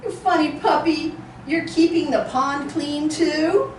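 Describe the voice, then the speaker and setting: A woman reading a cheerful little girl who talks gently to her puppy in a sing-song way. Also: sits high, takes it slowly, a little hiss or hum. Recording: roomy echo, distant mic.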